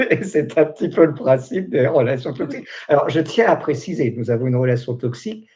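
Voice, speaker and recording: Joking mellow-voiced male host. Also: a little high, expressive, moderate pace. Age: 40s. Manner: joking, chatty, many fillers. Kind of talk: casual interview chat.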